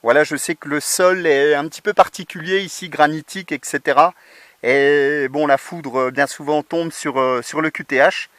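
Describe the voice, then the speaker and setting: A man's voice: a little high, fairly even intonation, moderate pace, a bit bright, no echo. A man chatting casually and hesitantly as an amateur radio operator making contact from a portable outdoor station.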